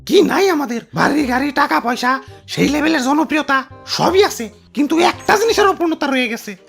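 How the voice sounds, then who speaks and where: A performer voicing an excitable, power-hungry cartoon schemer in colloquial Bangladeshi speech, exclaiming and repeating phrases. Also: high-pitched, a little fast, gravelly, faint music behind, heavily acted. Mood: scheming. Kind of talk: Bengali comedy cartoon dubbing.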